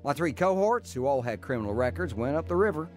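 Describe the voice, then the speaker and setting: Hardboiled gambler-narrator for radio drama: world-weary, wry, clipped, lively lightish pitch. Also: male voice, quick pace, soft background music. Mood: wry recollection. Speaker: narrating protagonist. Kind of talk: first-person radio drama narration and acting.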